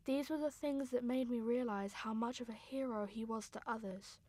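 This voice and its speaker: A woman playing a grieving young sister, narrating a radio drama in an earnest, sombre, deliberate way. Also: a little high, a little mellow, natural voice. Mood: sombre, wondering.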